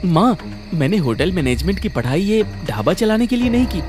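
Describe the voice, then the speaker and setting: Man acting an earnest young son, very animated. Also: high voice, normal speed. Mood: earnest, frustrated. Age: young adult. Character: earnest, ambitious young city-educated son. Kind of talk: cartoon story acting. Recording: music under the voice.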